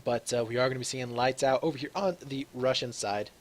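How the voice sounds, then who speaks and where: A young man doing solo play-by-play commentary on an online shooter match, his voice slightly raspy from a long day, filling pauses with "uh" and getting excited on big plays. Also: medium pitch, slight background noise. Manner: chatty, fills with "uh", grows excited on big plays. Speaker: shoutcaster.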